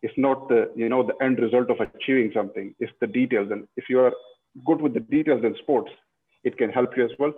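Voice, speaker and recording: A man's voice: medium pitch, brisk, breathy edge. A man from Pakistan, a guest in a casual interview, speaking reflectively with many restarts and "you know"s. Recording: tinny phone-like line.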